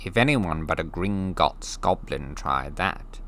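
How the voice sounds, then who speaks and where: A man voicing a curt, self-important male goblin in a storybook reading, speaking slowly and flatly. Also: low-pitched, a little hiss or hum, full character voice.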